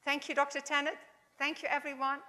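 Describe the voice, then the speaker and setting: A woman in her seventies with a Dutch accent, giving an informal, halting chapel talk in English as a second language. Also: high voice, flat delivery. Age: 70s. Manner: warm, halting, non-native phrasing. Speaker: chapel speaker. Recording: long hall reverb.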